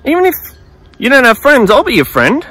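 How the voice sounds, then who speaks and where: A man putting on a high, cheery voice for a friendly, welcoming figure who talks loud and slow. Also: wildly swooping pitch, breathy edge, full character voice.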